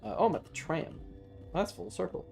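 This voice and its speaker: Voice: mid-range voice, fairly quick, vocal fry, dark tone, faint music behind. A streamer casually commenting on a game and chatting with viewers in a rambling, playful, profane manner. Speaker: live streamer.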